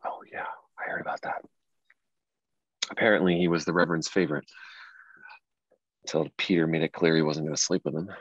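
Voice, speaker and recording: A low male voice, close and dry, an actor as an earnest man in his late thirties carrying grief in a stage drama. He talks conversationally, and the sound is a little dull. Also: steady tone, a little fast, long pauses, no echo. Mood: teasing, curious. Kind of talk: table read of a dramatic script.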